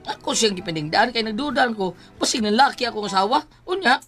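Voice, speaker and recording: A fed-up, anxious wife in a radio drama, with a Cebuano accent. Her voice is high and bright, plaintive and full of exclamation. Faint music runs underneath. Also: expressive, fast talker. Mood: complaining. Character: exasperated, worried wife of a lottery-crazed husband.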